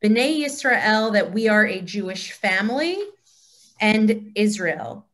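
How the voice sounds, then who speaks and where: A woman's voice: mid-range voice, animated, slow, fairly bright tone. A woman leading a class with explanatory teaching talk.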